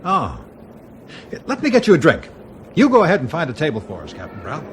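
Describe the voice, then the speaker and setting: American corporate sales exec, super polite and salesy, voice swings up and down, faint hiss, radio play. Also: male voice, on the high side, huge pitch swings, a little fast. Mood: genial, probing. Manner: effusive, salesmanlike, formally courteous. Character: genial, smooth-talking American corporate sales executive, upbeat and polite. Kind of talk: radio drama acting.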